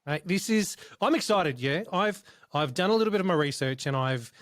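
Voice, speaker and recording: A man's voice: a little high, lively intonation, a little fast, a bit bright. A man hosting a podcast in casual interview chat, with an informal, matey, enthusiastic manner. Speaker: podcast host.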